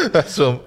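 A man's voice: high voice, breathy edge. A man co-hosting a casual podcast, reading listener questions aloud and laughing often. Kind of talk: casual banter.

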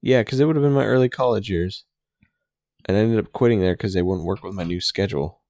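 A man chatting in a relaxed, laid-back way, swearing casually. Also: lowish voice, fast talker, no echo. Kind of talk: casual podcast chat.

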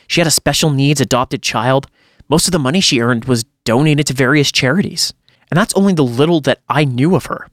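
A man's voice: mid-range voice, a bit bright, no room sound, studio quality. A man reading a first-person horror story aloud in a dramatic storytelling style, as a podcast host would.

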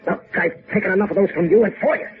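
A man's voice: high-pitched, quick pace, soft background music, close to an everyday voice. An actor playing a sullen, insolent henchman of a smuggler in a 1950s radio crime drama. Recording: muffled 1950s radio recording.

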